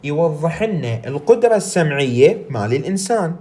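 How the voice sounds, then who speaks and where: A woman with an Iraqi Arabic accent giving a recorded lecture. She reads English text slowly, then explains it in Arabic, often asking and answering her own questions. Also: sits low, big pitch swings, mellow.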